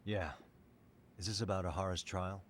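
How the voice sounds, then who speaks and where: A man's voice: sits low, flat delivery, moderate pace, a little hiss or hum, close to an everyday voice. An actor voicing a blunt, sardonic male detective and lawyer in a video game, with dry, matter-of-fact delivery.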